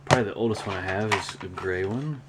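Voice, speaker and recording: A man talking casually and unscripted in a hobby show-and-tell vlog, with a rambling, halting delivery. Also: on the low side. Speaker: hobby vlogger, cassette collector. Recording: clear background noise.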